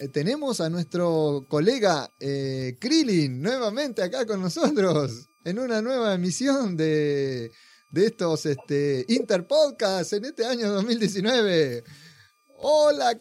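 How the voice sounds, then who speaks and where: A man with an Argentine accent co-hosting a podcast, relaxed and joking, with some hesitant pauses. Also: sits high. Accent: Argentine Spanish. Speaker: podcast co-host. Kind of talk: casual podcast chat.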